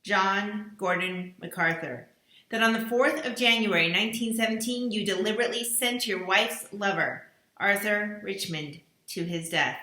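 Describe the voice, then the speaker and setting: A woman reading out a formal list of accusations in a slow, declaiming voice. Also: mid-range voice, bright, forceful tone, roomy sound, lightly acted. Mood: accusing.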